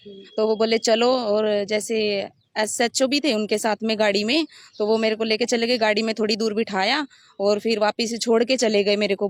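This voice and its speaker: High-pitched woman. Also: no echo. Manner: rambling, detailed step-by-step recounting. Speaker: interviewee recounting her complaint. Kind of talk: spoken personal account in an interview.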